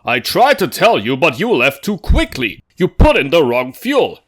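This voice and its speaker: Guy doing a friendly little male steam engine, highish voice, swoops all over, audio drama. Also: big pitch swings, moderate pace, a bit bright, breathy edge, full character voice. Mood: explaining. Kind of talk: amateur audio drama voice acting.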